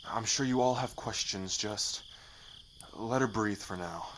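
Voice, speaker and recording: A loyal, hot-headed male rebel, played by a man in an ASMR roleplay. His voice is a little low, earnest and emphatic, protective of his people and bent on payback. Clear background ambience with insects surrounds it. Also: a little slow, a bit bright, a little hiss or hum, low-quality recording. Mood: relieved, earnest.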